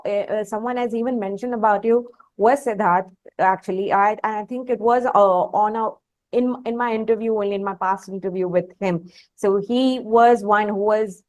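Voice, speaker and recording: A woman's voice: mid-range voice, a little mellow, dry recording. A woman asking questions conversationally as an interviewer, in wordy, slightly formal phrasing.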